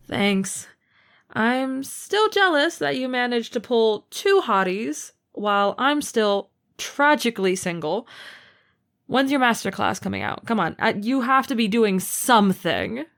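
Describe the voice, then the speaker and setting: A woman's voice: a little high, sing-song, a little slow, vocal fry, fairly bright tone, exaggerated voice. A woman playing a protective, teasing and affectionate older sister in a conversational, sincere audio roleplay. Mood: playful teasing. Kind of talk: amateur audio roleplay acting.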